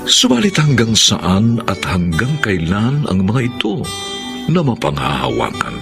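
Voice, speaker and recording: A man's voice: on the low side, huge pitch swings, unhurried, vocal fry. A man announcing and narrating a radio drama in a solemn, theatrical style. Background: loud guitar music.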